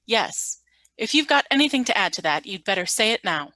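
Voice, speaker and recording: Stern governor female performer, radio play. Mid-pitched, forceful, formal, dry close recording. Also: very animated, brisk, no room sound. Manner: formal, authoritative. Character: stern, questioning colony governor. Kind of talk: scripted radio play reading.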